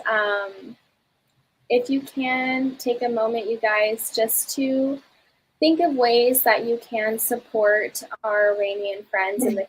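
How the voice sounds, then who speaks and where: Chatty breathy woman hosting. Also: a little high, poor audio. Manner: chatty, encouraging, many ums. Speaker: community host. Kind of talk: live online community session.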